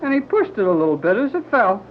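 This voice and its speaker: An excitable young sidekick. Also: male voice, high voice, fast talker, a little hiss or hum. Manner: excitable, folksy. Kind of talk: 1930s radio acting. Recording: dull lo-fi 1930s radio recording.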